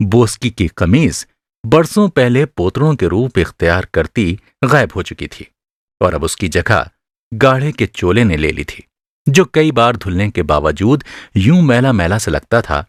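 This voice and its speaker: A male storyteller narrates a radio drama in a low voice with very lively intonation. The delivery is literary and dramatic, and the sound is dry and close. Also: no room sound.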